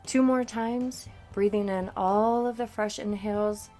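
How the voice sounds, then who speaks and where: A woman coach narrating a scripted guided meditation in a soothing, deliberate manner. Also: mid-range voice, steady tone, dark tone. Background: background music.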